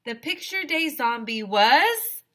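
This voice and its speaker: A woman teacher reading a children's story aloud expressively, with dramatic pauses and direct asides to young listeners. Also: high voice, very animated, a bit bright.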